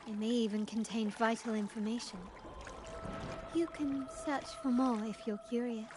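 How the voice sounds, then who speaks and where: A woman playing a poised, cultured and enigmatic young lady in a video game, speaking formally and with measured eloquence. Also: a little high, fairly even intonation, background noise, lightly acted. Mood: polite, intrigued. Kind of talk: scripted video game dialogue.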